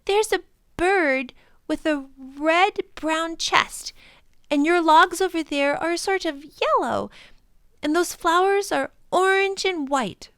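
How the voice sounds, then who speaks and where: A woman's voice: very high voice, takes it slowly, fairly bright tone, no echo, clean studio sound, pitched above the performer's own voice, full character voice. A woman voicing an earnest, amazed child in a children's storybook, drawing out words with wonder. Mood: observant, eager. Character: wide-eyed child, earnest and amazed, discovering things. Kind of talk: children's storybook read-aloud.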